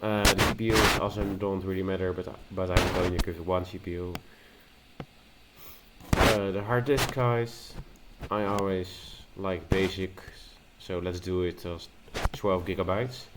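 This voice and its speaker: Low, slow-talking man. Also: level tone, very noisy. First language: Dutch. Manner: nervous, hesitant, with many fillers and restarts. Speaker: tech video host. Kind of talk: casual screen-recording tutorial.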